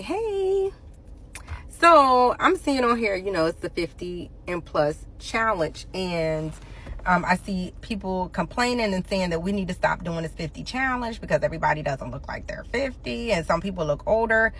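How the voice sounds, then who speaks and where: A woman's voice: mid-range voice, lively intonation, moderate pace, fairly bright tone. A woman of fifty talking casually and emphatically into her phone, defending people who share their age. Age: 50s. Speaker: commentator. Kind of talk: casual opinion rant.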